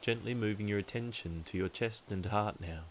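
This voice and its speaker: A deep-voiced man leads a guided meditation. He speaks calmly and deliberately, leaving long, soothing pauses between phrases. A soft, steady hiss sits behind the voice.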